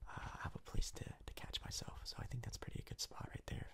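A man giving hushed, casual ASMR game commentary in a breathy, gravelly whisper, close to the mic. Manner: hushed, casual, unhurried rambling. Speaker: gaming video host.

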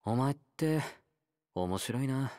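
A man's voice: mid-range voice, lively intonation, slow pace, dark tone, dry recording, clean studio sound. A male actor playing a laid-back young convict fighter in a game, blunt, teasing and nonchalant, with a drawl. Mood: soft, fond. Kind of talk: Japanese game character voice lines.

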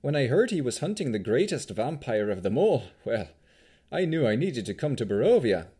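A jaunty female adventurer from a fantasy tale, acted out by a man. She speaks in a fantasy accent with a lifted, lively pitch. Her manner is breezy, frank and self-assured. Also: normal speed, slightly rough. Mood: candid. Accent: Vistani-style fantasy accent.

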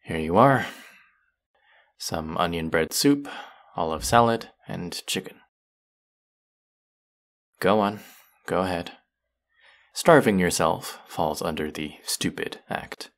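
A man's voice: deep voice, slow pace, pauses often. A man voicing a smug, taunting young fantasy villain who menaces his captive in a theatrical roleplay audio monologue. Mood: teasing, controlling.

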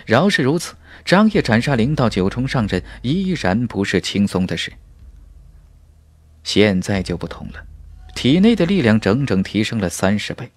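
A man with a fairly low voice reads a scripted fantasy story aloud. His intonation swings widely, with emphatic, dramatic phrasing. The sound is dry and close. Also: sing-song, normal speed, dry recording. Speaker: audiobook narrator. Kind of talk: scripted fantasy novel narration.

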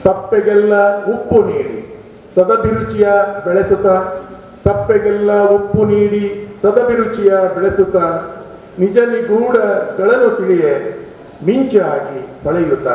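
A man reciting poetry as a poet, in measured verse with repeated lines. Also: high-pitched, mellow. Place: indoor event hall. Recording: very echoey hall, distant dull mic, faint noise.

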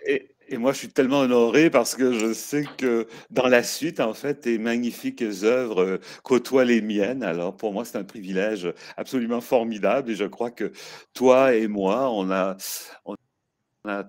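A man's voice: mid-range voice, moderate pace. An older man hosting an interview over a video call, effusive and full of hesitations. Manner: warm, effusive, frequent hesitations. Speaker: host. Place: stone-walled room indoors.

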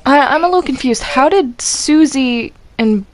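A woman's voice: sits high, lively intonation, moderate pace. A woman chatting casually while gaming, giggly and rambling as she reacts aloud. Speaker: player in a Minecraft roleplay group.

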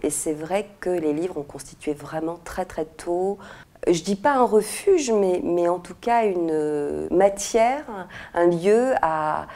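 Soft-spoken woman, hesitant and reflective. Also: fairly low pitch, slow. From France and Morocco. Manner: thoughtful, many hesitations and restarts. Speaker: author interviewed. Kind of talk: reflective interview answers. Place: indoor room with red walls, seated in armchair. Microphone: lapel mic.

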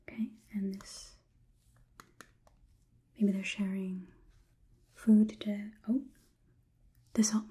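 A young woman whispering softly and deliberately in an ASMR roleplay, playing a curious, logical robot overlord who questions the listener clinically. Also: mid-range voice. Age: young adult. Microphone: close ASMR microphone.

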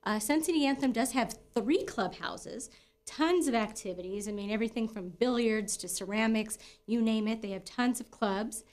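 Higher-voiced middle-aged American woman presenting. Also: normal speed, darkish tone. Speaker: real estate broker presenting a property tour. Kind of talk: presenter-style house tour, semi-scripted sales talk.

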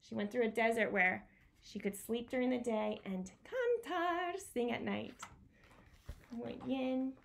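Woman, thirties, bilingual picture-book reader for little kids; slightly high voice, very measured pace, expressive and explanatory. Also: creaky, a little hiss or hum. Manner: expressive, explaining and repeating key words for children. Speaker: children's storytime presenter. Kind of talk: bilingual picture-book read-aloud for young children. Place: small home room with bookshelves, indoors.

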